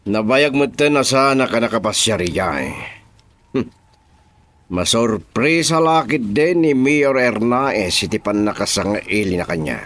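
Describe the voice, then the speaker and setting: An actor playing a scheming businessman boss in an Ilocano radio drama, with a deliberate, theatrical delivery. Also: medium pitch, lively intonation, fairly bright tone. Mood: confident.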